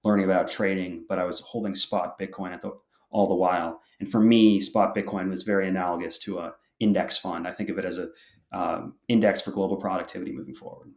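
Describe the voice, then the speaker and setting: A young man with a very deep voice explains his thinking steadily, often backing up to restart a sentence. His voice carries a noticeable echo from the room around him. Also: steady tone, fairly quick, a little mellow. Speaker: podcast guest. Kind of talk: interview answers.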